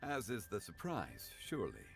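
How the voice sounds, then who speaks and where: A composed and dignified game character who speaks in a low, unhurried voice with old-fashioned courtesy. His pitch rises and falls expressively, and game music plays behind him. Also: wildly swooping pitch, creaky, heavily acted. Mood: courteous. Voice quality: deep, measured, formal and courteous. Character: calm dignified man, courteous elder scholar. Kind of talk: game voice acting.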